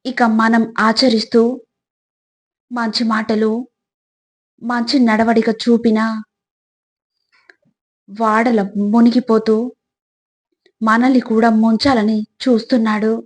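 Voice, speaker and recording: Slow, even-toned woman narrating reflections. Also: fairly high pitch. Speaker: narrator of motivational quotes. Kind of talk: scripted motivational narration.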